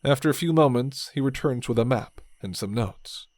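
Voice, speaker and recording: A man narrating a dark fantasy ASMR roleplay in calm second-person storybook style. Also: mid-range voice, moderate pace, no echo.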